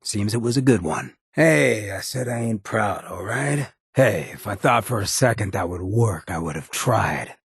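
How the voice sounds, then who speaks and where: An actor playing a roguish ghoul mayor in a video game, a swaggering former drifter with a very raspy, gravelly voice and a cocky, streetwise drawl. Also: male voice, on the low side, lively intonation, a little slow, few pauses, no echo, clean studio sound, exaggerated voice. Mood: candid, cocky. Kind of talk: video game companion dialogue.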